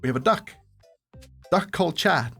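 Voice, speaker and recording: A woman's voice: lowish voice, animated, moderate pace, creaky, a bit bright, slightly rough, faint music behind. A woman giving casual game commentary as a streamer, playful, giggly and sweary. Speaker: gaming streamer.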